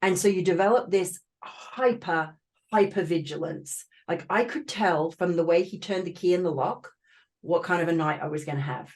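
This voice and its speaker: Low-voiced woman with Australian-British accent. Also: normal speed, darkish tone. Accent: Australian with a British touch. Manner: earnest, explanatory, with frequent fillers and restarts. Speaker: podcast guest, trauma expert. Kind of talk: casual interview talk.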